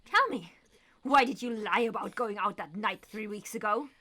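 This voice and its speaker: A woman playing a possessive, jealous lover in a horror radio drama, with a condescending, deliberate, theatrical delivery. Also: mid-range voice, lively intonation, sharp tone. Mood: jealous, accusing.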